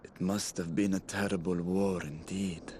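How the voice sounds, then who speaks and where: A man's voice: sits low, flat delivery, unhurried, background noise. A man playing a grave, wary adventurer in a video game cutscene, serious and probing. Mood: sombre. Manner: serious, questioning. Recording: music behind.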